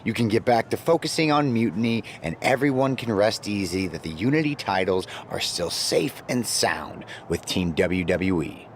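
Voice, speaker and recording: A man's voice: fairly low pitch, expressive, normal speed, few pauses, a little hiss or hum. A man playing a cocky pro wrestler in a video game, delivering a smug, mock-reassuring promo. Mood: mocking, menacing.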